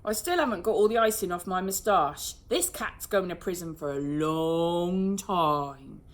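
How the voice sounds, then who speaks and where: A man's voice: high voice, measured pace. A woman voicing a smug middle-aged male police sergeant who loves a cliche and speaks drily. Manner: smirking, cliche-loving, dry.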